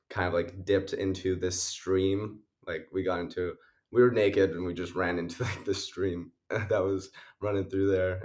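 A young man with a very low voice talks through his music in a loose, rambling way. He fills his answers with fillers and laughs. He sounds a bit sniffly. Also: darkish tone. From Canada. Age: young adult. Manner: rambling, many likes and uhs, laughs often. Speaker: musician guest. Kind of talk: casual interview answers.

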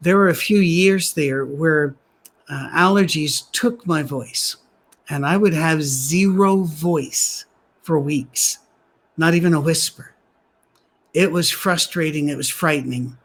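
A man, a pastor, preaching a sermon in a soft, gentle voice, conversational with dry humorous asides, at a church gathering held on a soundstage. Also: a little high, slow pace.

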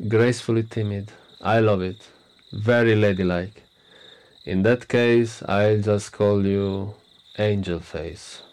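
An actor playing a pompous, smug prime minister in a radio play, lecturing with boastful self-importance. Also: male voice, on the low side, fairly even intonation, slow, a little mellow, clear, resonant tone. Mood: smarmy. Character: pompous arrogant male prime minister, middle-aged. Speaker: politician.

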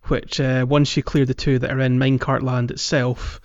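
A man hosting a gaming podcast in casual chat, with hesitant, halting phrasing. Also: mid-range voice, level tone. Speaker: podcast host. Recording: roomy echo, slightly dull sound.

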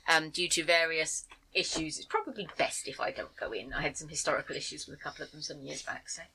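A woman's voice: low-pitched, fast talker, vocal fry, sharp tone, a little hiss or hum, low-quality recording. A woman running a tabletop role-playing game as game master, talking in a rambling way with many ums.

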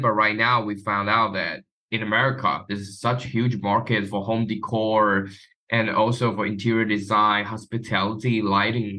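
A man's voice: fairly low pitch, pushed and bright, rough recording. A man from Taiwan, a guest chatting casually in an interview. His phrasing is loose and sprinkled with "you know". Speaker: guest, marketing professional.

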